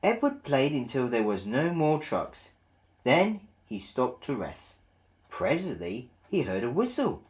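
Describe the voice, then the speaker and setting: A man reads a children's story aloud at an unhurried pace. His pitch is middling and moves a great deal, giving an animated, expressive storybook delivery. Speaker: storybook narrator. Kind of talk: expressive read-along children's story narration.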